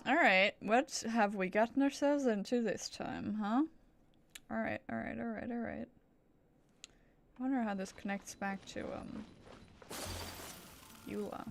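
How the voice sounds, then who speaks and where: A woman's voice: on the high side, measured pace, creaky, pauses often, slight background noise. A woman streaming a video game with casual, playful, rambling commentary and muttered asides. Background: game music.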